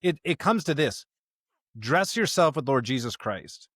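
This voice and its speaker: A man co-hosting a podcast in casual conversation, talking emphatically in digressive, halting phrases. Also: mid-range voice, very animated, a bit bright, no echo, studio quality. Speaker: podcast co-host.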